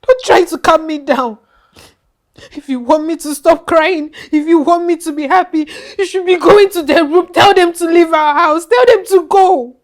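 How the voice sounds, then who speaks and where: High-voiced woman crying out in grief. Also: normal speed. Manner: sobbing, accusing. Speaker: grieving mother. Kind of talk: melodrama acting.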